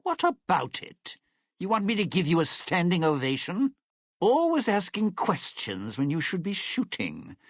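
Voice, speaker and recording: An actor playing a cynical, self-serving lounge singer in a video game, with a raspy, gravelly voice and a wry, theatrical, menacing delivery. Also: male voice, high voice, wildly swooping pitch, measured pace, no echo, clean studio sound, exaggerated voice. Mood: urgent, wry. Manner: wry, theatrical, hesitant muttering turning to threats. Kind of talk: video game character dialogue.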